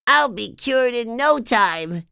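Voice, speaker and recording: Woman voicing sick cartoon sponge boy: very high, nasal, stuffy, slow delivery, dry read. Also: expressive, creaky, sharp tone, smooth, no room sound, exaggerated voice. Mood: sick, pleading. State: sniffly with a cold. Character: cheerful young male sea sponge, eager. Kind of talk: storybook read-aloud.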